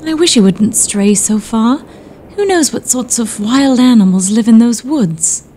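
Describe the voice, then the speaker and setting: An anxious Victorian mother in a gothic radio play, acted by a woman. Her voice is high and swings widely in pitch, fretful and slow-paced, over faint ambient noise. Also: lively intonation, mellow. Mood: worried. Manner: fretful, old-fashioned phrasing. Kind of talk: period audio drama acting.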